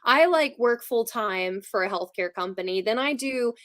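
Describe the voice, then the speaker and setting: A woman's voice: on the high side, animated, normal speed, a bit bright, no room sound, clean studio sound. A woman chatting casually in a giggly manner, peppering her talk with "like". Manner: giggly, frequent laughing, heavy use of "like". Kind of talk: casual chat.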